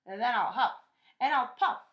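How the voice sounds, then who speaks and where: A woman voicing a big bad wolf, a bullying storybook villain who bellows drawn-out, emphatic threats. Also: on the high side, expressive, lo-fi sound, lightly acted. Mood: menacing threat. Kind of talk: children's storybook read-aloud.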